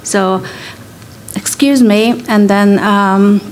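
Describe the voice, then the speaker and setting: A woman from Hungary with a Hungarian accent, introducing and reading literature at a public event, hesitant in her remarks and deliberate when she reads. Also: mid-range voice, steady tone, a little hiss or hum. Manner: measured, deliberate poetry reading. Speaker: guest reader. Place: event hall.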